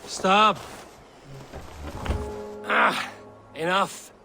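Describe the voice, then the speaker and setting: An actor playing a bold, blunt young Viking warrior in a video game, with a commanding manner. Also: male voice, high voice, fairly even intonation, drawn-out words, creaky, bright, forceful tone, soft background music, full character voice. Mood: shouting.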